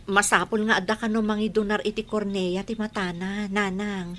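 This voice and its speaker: A woman playing a gentle, reassuring mother in an Ilocano radio drama, soothing and encouraging. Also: mid-range voice, little pitch movement, normal speed, a little hiss or hum, natural voice. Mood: serious.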